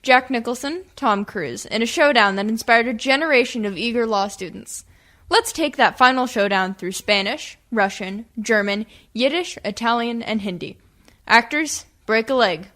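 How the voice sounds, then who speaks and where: A showy female presenter played by a woman in a radio comedy. She speaks in a slightly high voice with scripted, theatrical delivery as she addresses her cast. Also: animated, normal speed, a bit bright. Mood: brisk, showy. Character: theatrical woman announcer introducing actors.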